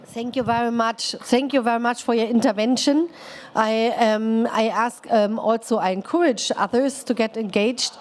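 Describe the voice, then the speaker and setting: A woman's voice: fairly high pitch, steady tone, normal speed. Woman moderating a conference panel. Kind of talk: moderating audience questions. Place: conference pavilion.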